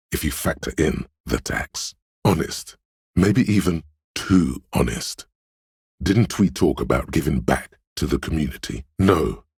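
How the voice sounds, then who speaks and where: A man voicing a slick, smug, money-minded inner voice in a video game, speaking in a suave, deliberate, theatrical way. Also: no room sound, studio quality, heavily acted. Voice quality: very deep, gravelly, husky. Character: slick hustling inner voice of a detective, smugly money-obsessed. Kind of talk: scripted game narration, theatrical and measured.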